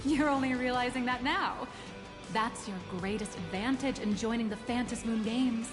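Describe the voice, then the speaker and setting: Woman playing a high-voiced, poised game guide. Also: normal speed, music in the background, natural voice. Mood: composed. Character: poised, knowing female guide, smoothly persuasive. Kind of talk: video game voice acting.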